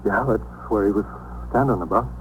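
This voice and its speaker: An American actor playing a rattled working-class bartender, evasive and protesting, in a 1950s radio police drama. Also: male voice, lowish voice, fairly quick, close to an everyday voice. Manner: evasive, protesting, plain-spoken. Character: defensive, rattled working-class male bartender under suspicion. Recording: noisy old 1950s radio recording.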